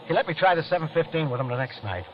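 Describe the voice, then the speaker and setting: A desperate husband accused of a crime played by a male actor, American and high-voiced. His delivery swings widely in pitch, stammering and pleading in classic radio-drama style, on a muffled vintage recording. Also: lively intonation, racing, loud music behind the voice, close to an everyday voice. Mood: weary, bitter. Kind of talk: 1940s-50s radio drama acting and narration.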